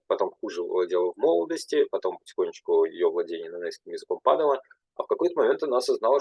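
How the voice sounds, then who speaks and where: A young man, a language teacher, speaking at a panel in a halting way with many filler sounds and restarts. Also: on the low side, mellow, husky, dry recording, low-quality recording. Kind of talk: panel talk.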